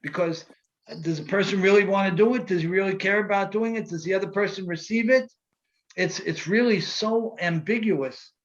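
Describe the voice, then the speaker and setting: A man's voice: sits high, normal speed, dull audio. A man, a rabbi, leading an interactive class over a video call, repeating phrases for emphasis.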